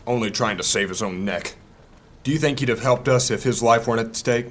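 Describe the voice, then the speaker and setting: An actor playing a stubborn, impatient and suspicious warrior in a fantasy audio drama, blunt and brusque in delivery. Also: male voice, mid-range voice, a little fast, close to an everyday voice. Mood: bitter, threatening.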